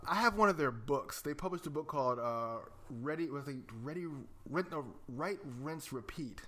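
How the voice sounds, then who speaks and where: Casting: male co-host, higher-pitched and very animated, jokey, excitable casual banter. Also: moderate pace. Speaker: podcast co-host.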